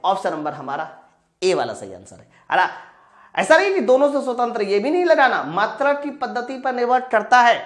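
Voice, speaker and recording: A woman teaching an exam-prep science class, emphatically working through questions, prompting students and repeating key points. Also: medium pitch. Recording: roomy echo.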